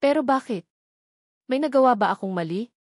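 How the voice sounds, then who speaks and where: A woman's voice: fairly high pitch, expressive, normal speed, dry recording, pitched above the performer's own voice. A woman plays a heartbroken young man from a romance audiobook. He pleads insistently with the one he loves. The recording is dry and close. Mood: pleading.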